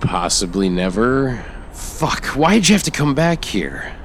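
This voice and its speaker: A man's voice: medium pitch, sing-song, takes it slowly, slight background noise. A man playing a bitter, resentful young man who speaks in a volatile, explosive way. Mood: strained.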